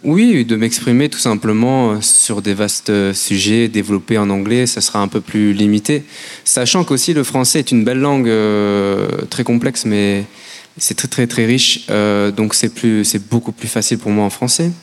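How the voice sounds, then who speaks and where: A man from France answering questions as a guest, in a hesitant, measured way. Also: on the low side. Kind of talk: interview answers.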